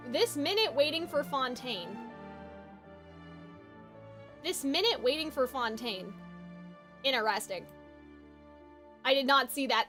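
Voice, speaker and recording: A woman live-streaming a video game, reacting and chatting casually in an excitable, exclamatory way and often repeating herself. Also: high voice. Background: game music.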